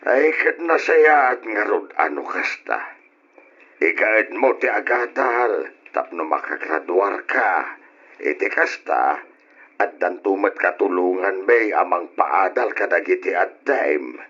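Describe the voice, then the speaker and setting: Husky, measured fatherly man. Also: low voice, lively intonation, bright, forceful tone, full character voice. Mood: encouraging. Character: older father, kindly but strict, advising his daughter. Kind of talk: old radio drama acting. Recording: dull, lo-fi old radio drama.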